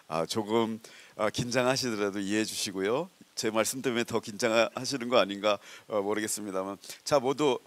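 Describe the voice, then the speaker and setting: A man's voice: fairly low pitch, fairly quick, hardly any pauses, no room sound. A man moderating a public forum, hosting in a formal but conversational style.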